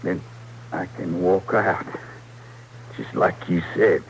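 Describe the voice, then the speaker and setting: A childlike, simple young outlaw in a vintage radio Western. He talks in a lowish, plaintive drawl of the American West, haltingly and trustingly, through a noisy old recording. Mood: wounded, childlike. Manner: slow, halting, plaintive rural speech. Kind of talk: old-time Western radio drama acting.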